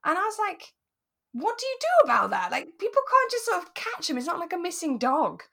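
A woman's voice: very high-pitched, huge pitch swings, brisk, creaky, sharp tone. Chatty, giggly British woman. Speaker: podcast co-host. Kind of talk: relaxed chat about a radio drama.

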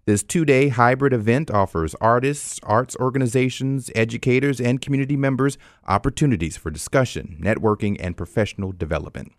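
A man's voice: mid-range voice, brisk, few pauses, dry recording, clean studio sound. An American man hosting a radio show, presenting in a conversational way and speaking directly to listeners. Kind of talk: scripted announcements and interview hosting.

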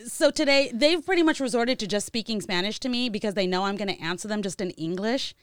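A woman's voice: medium pitch, expressive, fast talker, smooth, dry recording, studio quality. A woman chatting casually as a podcast co-host, joking and laughing often, with frequent swearing. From Mexican-American, United States. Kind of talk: casual chat.

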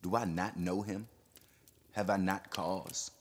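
A man reading an audiobook, voicing a frantic, vengeful man who shouts his words out in a rush. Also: low voice, moderate pace. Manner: shouting, words tumbling over each other.

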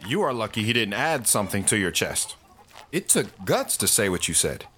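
An actor playing a steady, philosophical friend in an audio drama, speaking in a measured, reflective way. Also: male voice, lowish voice, a little hiss or hum. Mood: steady, reassuring. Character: steady, philosophical male friend giving folksy counsel.

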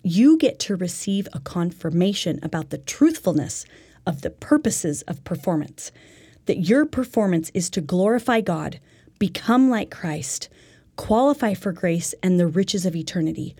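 A woman's voice: lowish voice, lively intonation, normal speed, warm tone, breathy edge. A woman presenting a religious self-help lesson in an earnest, instructive way.

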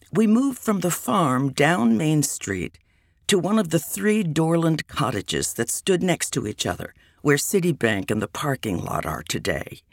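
A woman reads a memoir in an unhurried, reminiscing way, her voice gravelly with plenty of fry. Her pitch moves a lot, rising and falling through each line. The recording is dry and close, with no room sound. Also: no echo. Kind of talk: reading a memoir aloud.

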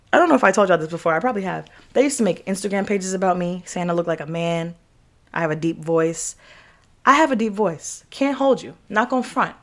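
Young american woman podcaster, casual pep talk, blunt n slangy, swears, hammers phrases twice. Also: medium pitch. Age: young adult. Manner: candid, emphatic, slangy and profane; repeats phrases for emphasis. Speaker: podcast host. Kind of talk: casual motivational monologue.

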